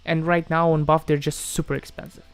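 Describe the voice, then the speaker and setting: A man's voice: on the high side, little pitch movement, fairly quick, smooth, soft background music. A man from Moldova chatting casually on a livestream as he answers viewers, hesitant, often repeating himself and trailing off. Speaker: live streamer answering chat questions.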